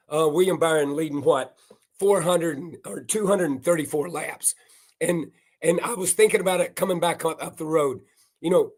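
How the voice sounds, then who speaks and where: An older man with a hoarse, worn voice giving folksy, emphatic live analysis of a race. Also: a little high. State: hoarse, losing his voice. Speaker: racing analyst.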